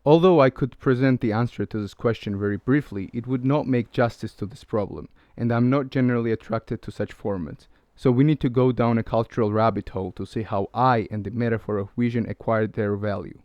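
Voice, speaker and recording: A man's voice: medium pitch, a little mellow, no room sound, lo-fi sound. Man reading a lecture. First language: Georgian. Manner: measured, lecturing. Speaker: video essay narrator. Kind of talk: scripted narration.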